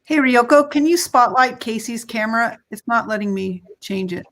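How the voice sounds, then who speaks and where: A woman's voice: fairly high pitch, moderate pace, a bit bright. A woman moderating an online session with casual, practical interjections over a faintly noisy call. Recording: faint noise on video call.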